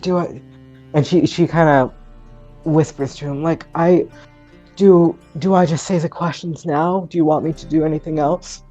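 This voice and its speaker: A woman playing a tabletop roleplay game, speaking casually and hesitantly, with halting starts. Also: deep voice, fairly even intonation, warm tone, highs cut off, soft background music. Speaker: game player.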